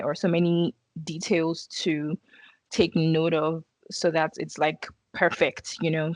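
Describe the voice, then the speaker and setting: A woman's voice: lowish voice, no echo. A young woman with a Nigerian accent, a marketing intern chatting casually as an interview guest. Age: young adult. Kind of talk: casual chat.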